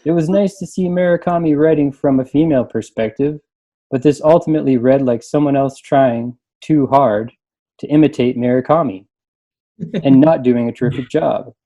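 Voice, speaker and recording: A man's voice: mid-range voice, normal speed, dark tone. A man who works as a librarian, reading aloud and chatting casually on a panel. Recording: dull, muffled lo-fi sound.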